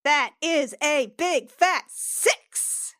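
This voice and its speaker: A woman's voice: high voice, very slow, sharp tone, no echo. Dry, close-miked woman playing a tabletop game. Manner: chatty, reacts with exclamations. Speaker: player. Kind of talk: casual tabletop game chat.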